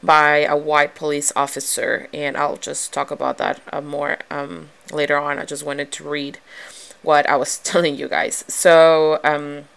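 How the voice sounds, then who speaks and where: A young woman from Ecuador speaks in a low, smooth voice with a strong Ecuadorian Spanish accent. She talks earnestly to her viewers, stopping on fillers and restarts and growing more animated as she goes. Her voice stays clear and resonant. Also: a little slow. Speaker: vlogger. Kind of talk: personal talk to camera.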